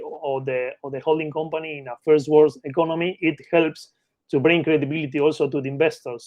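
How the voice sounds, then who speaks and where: A man with an Argentine accent speaks in a fairly high voice, recorded dry and close. He explains his work in a relaxed interview manner and keeps checking in with "right?" at the end of phrases. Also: dry recording. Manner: ends phrases with a questioning "right?". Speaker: guest, M&A expert. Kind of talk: casual interview talk.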